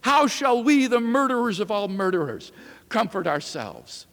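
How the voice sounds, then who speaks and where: A man's voice: sits high, very animated, moderate pace, vocal fry, fairly bright tone, pitched above the performer's own voice, exaggerated voice. A man voicing an anguished, accusing madman with declamatory, urgent delivery in a dramatic reading. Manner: declamatory, urgent rhetorical questions. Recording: reverberant lecture hall.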